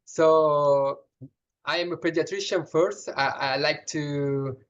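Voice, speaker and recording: An Italian-accented man talks through a medical topic in a careful, halting way, restarting his sentences as he searches for words. His voice sits a little high for a man. The sound is muffled and lo-fi, like a video call. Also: fairly even intonation. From Italy. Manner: halting, frequent restarts and fillers, earnest explaining. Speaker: pediatrician. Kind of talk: answering questions in an online session.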